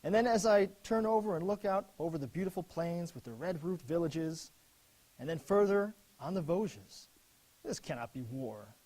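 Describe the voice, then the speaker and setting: An actor playing an earnest young American lieutenant in a dramatic, expressive reading of his letters home from war. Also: a little high, normal speed, rough recording. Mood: dreamy, peaceful.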